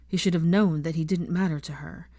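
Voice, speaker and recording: A woman reading fiction aloud as an audiobook narrator, in an expressive scripted style. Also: fairly low pitch, fairly quick, no room sound.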